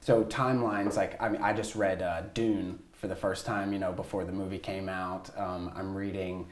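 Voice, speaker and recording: A man hosts a relaxed interview, asking long, meandering questions packed with "like" and "uh." His voice sits low. The room around him is echoey. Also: moderate pace. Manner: loose, rambling questions with many fillers. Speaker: podcast host. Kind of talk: casual interview.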